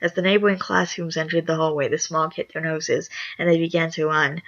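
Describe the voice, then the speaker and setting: Raspy, gravelly host reading reviews aloud. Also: mid-range voice, a bit bright, muffled recording. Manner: reads with small stumbles and self-corrections. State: hoarse after just waking up. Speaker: channel host.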